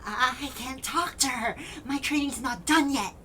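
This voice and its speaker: A woman's voice: sits high, normal speed, pushed and bright, slight background noise. A woman playing a wisecracking, nervous male trainee scholar in an audio drama, in a natural, hesitant manner. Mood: anxious.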